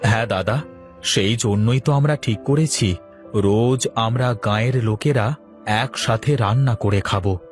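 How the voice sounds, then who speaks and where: A man's voice: fairly low pitch, unhurried, faint music behind, natural voice. A man playing a humble, hospitable village man who speaks courteously in a cartoon moral story. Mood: grateful, respectful. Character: humble, hospitable village man, respectful elder-brother type. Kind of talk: Bengali cartoon dialogue.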